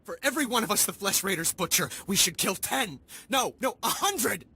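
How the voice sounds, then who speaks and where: A grief-maddened villager, husky and high. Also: male voice, animated, brisk, exaggerated voice. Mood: furious. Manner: shouting, bitter, accusing. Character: grieving angry male native villager, bitter.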